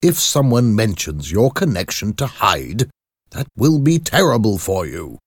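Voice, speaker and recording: A man voicing a grave, concerned lawyer in a storybook, with a measured, probing manner. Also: fairly low pitch, very animated, no echo, studio quality, voice pushed up. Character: grave, concerned male lawyer, questioning and suspicious. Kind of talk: audiobook character acting.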